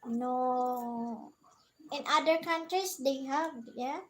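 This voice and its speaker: A woman's voice: high-pitched, very slow. A Filipina woman in her forties with a Filipino accent, chatting casually with a viewer on a live stream, asking questions and laughing often. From Philippines. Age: 40s.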